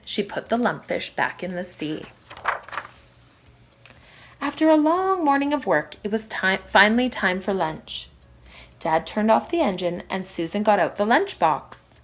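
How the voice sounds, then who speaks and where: A woman reads a storybook to young children in the manner of a primary school teacher. Her pitch moves in a lively way, and she leans on key words with expressive emphasis. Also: a little slow, slight background noise. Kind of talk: children's storybook read-aloud.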